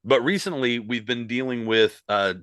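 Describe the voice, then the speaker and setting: A man's voice: medium pitch, moderate pace, fairly bright tone, clear, resonant tone, no echo, clean studio sound. A man co-hosting a casual podcast, talking in a loose, rambling way with lots of fillers, still getting over an illness. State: recovering from illness. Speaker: podcast co-host.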